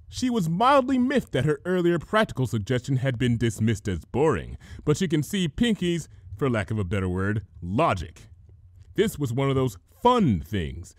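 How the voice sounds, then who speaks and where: A man narrating a comic fantasy story as an expressive reader. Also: medium pitch, no echo, clean studio sound. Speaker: fan fiction reader.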